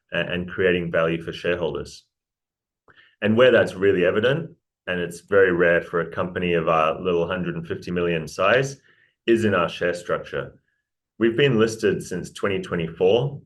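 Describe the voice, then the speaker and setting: Brief: very low-voiced Kiwi exec, upbeat pitch, stumbles and restarts. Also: male voice. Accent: New Zealand. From New Zealand. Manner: upbeat, restarts and repeats words. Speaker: mining company CEO. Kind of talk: casual interview pitch.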